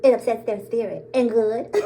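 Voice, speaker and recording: A woman's voice: a little high, dark tone, a little hiss or hum. A woman vlogger talking straight to her viewers in a bold, sassy way, laughing often and calling them girl, sis and baby. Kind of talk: casual to-camera monologue.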